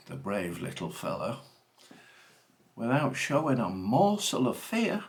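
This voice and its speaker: A man theatrically reciting comic verse with dramatic, deliberate phrasing. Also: on the low side, creaky. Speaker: reader of a comic monologue poem.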